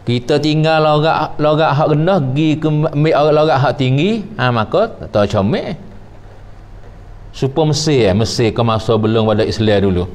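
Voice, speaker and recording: A man teaching a traditional religious lesson. He explains slowly, repeats phrases and quotes Arabic before glossing it. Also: a little high, lively intonation, a little mellow.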